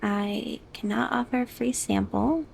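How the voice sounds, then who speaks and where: A woman with a soft, high voice talking quietly through a gameplay video for sleep, slipping between a hush and a whisper. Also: measured pace, gravelly, slight background noise. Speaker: gamer. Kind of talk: soft-spoken ASMR gameplay.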